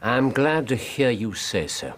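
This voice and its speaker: An actor in a radio drama playing a shrewd, commanding detective, speaking with precise, probing authority. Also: male voice, medium pitch, big pitch swings, measured pace. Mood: measured, reassuring. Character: shrewd, commanding male detective, coolly incisive and merciful.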